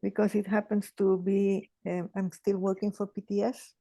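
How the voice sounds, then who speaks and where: A woman, sharing in a group call in a halting, thoughtful way with many restarts. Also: medium pitch, little pitch movement, vocal fry, mellow, dry recording. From Chile. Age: 80s. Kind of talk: casual sharing on a video call.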